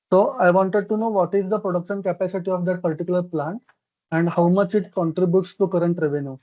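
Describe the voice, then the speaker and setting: Caller, polite investor questions, halting delivery, mid-pitched voice, dull conference-line sound. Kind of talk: unscripted questions on an earnings call. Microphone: conference call connection. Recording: muffled conference call line.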